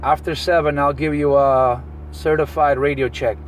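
A man's voice: on the high side, fairly even intonation, normal speed. A man making casual chat as an operator on a CB radio. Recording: distant CB radio with heavy noise.

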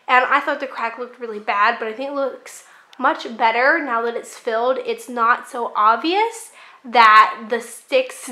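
Bright, high-voiced young woman crafter. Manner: chatty, upbeat, laughs lightly while explaining. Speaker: crafting channel host. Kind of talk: casual voice-over narration of a craft project.

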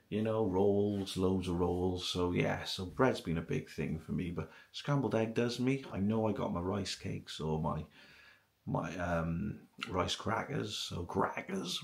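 A man's voice: deep voice, creaky. A middle-aged man with a British accent chatting casually to camera in a rambling way, repeating himself for emphasis. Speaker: video blogger. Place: small kitchen at home, indoors.

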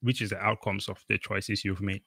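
Low-voiced talkative man on a video call. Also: little pitch movement, brisk, gravelly, sharp tone, husky, no echo, studio quality. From Cameroon. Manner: rambling, emphatic, many fillers. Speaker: podcast host. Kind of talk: casual conversational interview.

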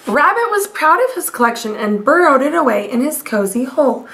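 A woman's voice: high voice, very animated, vocal fry, a bit bright. A woman in her thirties reading a picture book aloud expressively, speaking as a teacher to young children. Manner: slow, deliberate, addressing young children. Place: small home room, indoors.

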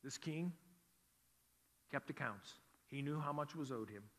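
A male pastor preaching a sermon with earnest emphasis, rising on key words and dropping soft on others. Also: on the high side, unhurried. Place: church sanctuary. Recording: long hall reverb.

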